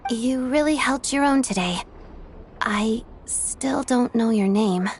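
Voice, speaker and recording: A woman's voice: a little high, slow, slight background noise. A woman voicing a cool, terse young woman agent in a video game, speaking in short clipped lines. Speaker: game character. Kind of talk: game voice acting. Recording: faint game music behind.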